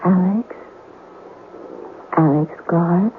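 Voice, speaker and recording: Slow, seductive ghost lady. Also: on the low side. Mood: coy, seductive. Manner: coy, languid, wheedling. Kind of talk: old-time radio drama acting. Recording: tinny old radio, faint noise.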